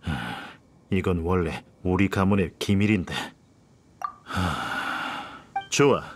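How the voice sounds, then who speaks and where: A man's voice: deep voice, takes it slowly, a little hiss or hum. A male actor playing a haughty, condescending nobleman in a video game dub, speaking in a pompous, formal aristocratic way. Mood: smug, approving. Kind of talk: dubbed video game dialogue.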